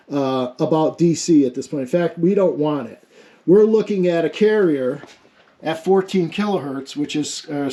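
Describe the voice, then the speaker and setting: A man's voice: fairly high pitch, dark tone. A man giving an informal hands-on technical explanation as a hobbyist, thinking aloud in a casual, self-deprecating way. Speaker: amateur radio experimenter demonstrating a homemade setup.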